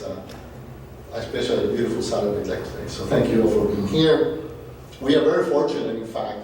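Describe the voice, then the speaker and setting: A man's voice: medium pitch, a little mellow. A man with a cold giving polite welcoming remarks as a program director, thanking people with frequent fillers. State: has a cold. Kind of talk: welcoming speech. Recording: very echoey hall, distant mic, faint hiss.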